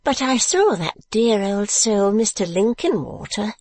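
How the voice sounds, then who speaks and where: Female reader as small, good-humoured gossip in period novel: high-pitched, arch, teasing, dry sound. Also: very animated, unhurried, darkish tone, no room sound. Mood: chatty. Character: sly, chatty little spinster friend, good-humoured. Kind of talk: dramatised classic novel reading.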